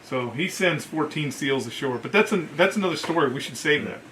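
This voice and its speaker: A man's voice: fairly high pitch, brisk, a little hiss or hum, rough recording. A man who is a military veteran tells war stories casually, acting out what others said in colloquial, profane language. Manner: profane, colloquial, animated retelling. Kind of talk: casual storytelling with acted-out quotes.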